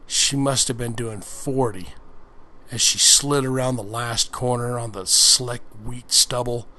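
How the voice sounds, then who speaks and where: A man with a mid-pitched voice reads a story aloud at a very unhurried pace. It is done as relaxed ASMR book reading, and he slips in crude, jokey asides as he goes. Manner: breaks off to comment crudely on the story.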